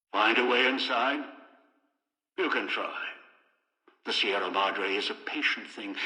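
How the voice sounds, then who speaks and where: An actor playing a scheming older male villain in a video game, speaking in a cold, measured, menacing way. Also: fairly low pitch, sharp tone, reverberant, long reverb tail, exaggerated voice, poor audio. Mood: cold menace. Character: old male scheming manipulative elder villain.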